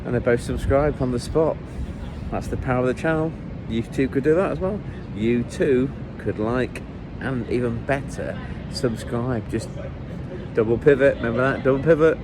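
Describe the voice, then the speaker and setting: A man vlogging as he walks city streets, chatty and jokey with rambling asides, a bit hoarse and run down, talking into a handheld camera. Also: mid-range voice, moderate pace, crowd noise, poor audio. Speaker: football travel vlogger. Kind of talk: walking travel vlog chat. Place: outdoors on city streets, walking. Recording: street traffic noise behind.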